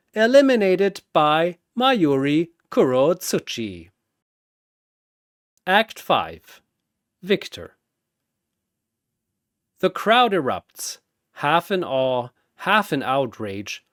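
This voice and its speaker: A man's voice: fairly high pitch, big pitch swings, slow pace, pauses often, dry recording, clean studio sound. A man narrating a scripted fantasy battle as a dramatic storyteller.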